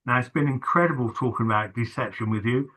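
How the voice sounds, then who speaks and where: Male host, casual talk, bright tone, halting and filler-heavy. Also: medium pitch, poor audio. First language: not English. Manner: hesitant, many fillers and restarts. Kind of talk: casual video-call chat.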